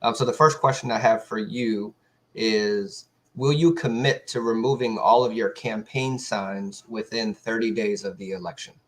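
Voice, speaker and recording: A man moderating a virtual judicial candidate forum, asking questions in a polite, measured way with some fillers. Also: fairly low pitch. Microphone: video call.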